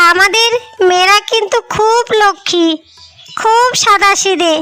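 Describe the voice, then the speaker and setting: A woman's voice: extremely high pitch, sharp tone, full character voice. A woman voicing a loving, anxious mother in a cartoon tale, pleading tenderly over a music bed. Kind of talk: melodramatic cartoon story dialogue.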